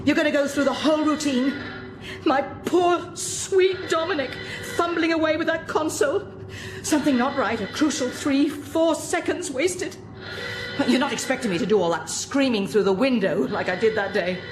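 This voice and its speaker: A woman's voice: sits high, normal speed, natural voice. An actress playing a bitter, grieving woman scientist in a radio drama, sardonic and anguished, in a roomy echo. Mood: bitter defiance. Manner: sarcastic, anguished. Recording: roomy echo with music behind.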